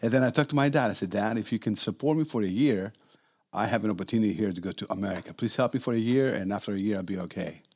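A man in his sixties from Greece, with a fairly low voice. He is a guest on a podcast and tells his story in a relaxed way, chuckling now and then. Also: rapid-fire, husky. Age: 60s. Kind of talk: interview storytelling.

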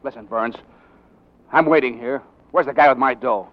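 An actor playing a brash, wisecracking, quick-witted newspaper reporter, urgent and evasive, in 1940s radio drama style. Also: male voice, mid-range voice, natural voice. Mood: tense. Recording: dull, noisy 1940s radio recording.

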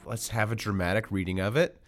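A man's voice: lowish voice, fairly even intonation, a little fast, soft background music. An American man hosting a podcast with casual, dry, joking banter about basketball. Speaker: podcast host.

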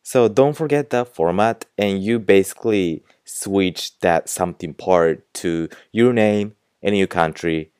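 Deep-voiced young man with Japanese accent. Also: measured pace, few pauses, a little mellow, clean tone, no echo, studio quality. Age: young adult. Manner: chatty, fills speech with "like" and "you know". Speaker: podcast host and language teacher. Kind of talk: casual podcast lesson.

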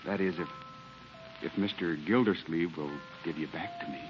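Guy playing a polite young dad w a deep voice, old radio show, kinda hesitant, music behind. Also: moderate pace, lightly acted. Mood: tender, confessional. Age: young adult. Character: polite, earnest tall young father. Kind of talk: 1940s radio drama acting. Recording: muffled vintage radio recording with music behind.

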